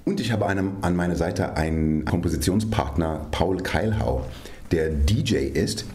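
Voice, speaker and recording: A man's voice: deep voice, moderate pace, darkish tone. A man, a conductor and composer, speaking enthusiastically but with slight hesitations in an interview. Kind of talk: interview answer.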